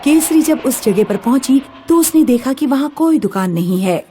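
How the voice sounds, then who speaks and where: A woman's voice: high voice, animated, normal speed, mellow. A woman narrating a scripted story as a storyteller.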